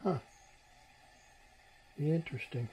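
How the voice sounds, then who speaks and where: A middle-aged man gives a casual hands-on tutorial as he works, thinking aloud in an unhurried way. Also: on the high side, mellow, slightly rough. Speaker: electronics repair hobbyist and host. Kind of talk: casual hands-on tutorial narration while working. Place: small indoor workbench.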